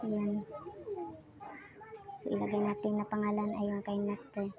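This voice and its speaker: A woman with a Filipino accent giving a casual step-by-step tutorial in a halting, self-correcting way, like someone demonstrating as she goes. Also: mid-range voice, completely flat delivery. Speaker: tutorial presenter. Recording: noisy home recording with animal sounds.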